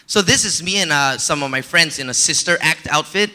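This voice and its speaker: Bright-voiced man giving a relaxed talk. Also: fairly high pitch, a little fast. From Malaysia. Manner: relaxed and personal, with many fillers. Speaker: conference speaker. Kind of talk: conference talk. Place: conference stage before an audience.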